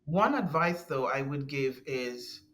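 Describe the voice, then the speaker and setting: A woman guest with a deep voice, talking in an earnest, halting way with frequent restarts and soft laughs. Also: lively intonation. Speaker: podcast guest. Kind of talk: conversational interview.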